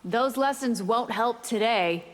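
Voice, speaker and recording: A woman's voice: a little high, measured pace, natural voice. An actress playing a cold, bloodthirsty female vampire warrior in a video game, taunting and predatory. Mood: cold menace. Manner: menacing, taunting. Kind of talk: video game character acting. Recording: very noisy, long reverb, game arena.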